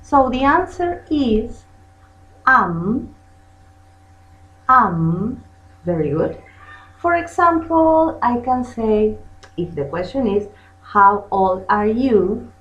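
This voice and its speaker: A woman's voice: fairly high pitch, animated, extremely slow, long pauses, a bit bright. A native Spanish-speaking woman giving a bilingual language lesson as teacher and host, carefully drilling phrases by repetition. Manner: bilingual, switches between English and Spanish, has listeners repeat. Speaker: English teacher, channel host. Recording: muffled lo-fi sound in echoey room.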